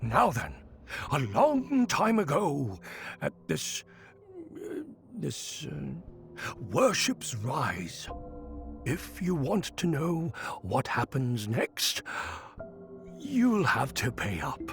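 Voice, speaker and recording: An actor playing a sly storyteller and trickster in a video game, wheedling in theatrical, old-fashioned phrasing. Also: male voice, fairly high pitch, huge pitch swings, drawn-out words, gravelly, faint music behind, heavily acted. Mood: teasing, sly. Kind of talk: video game cutscene acting.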